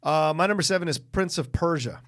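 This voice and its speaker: A man's voice: on the high side, fairly quick, a bit bright. A man co-hosting a podcast, giving blunt, emphatic, sweary opinions in casual film chat. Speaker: podcast co-host.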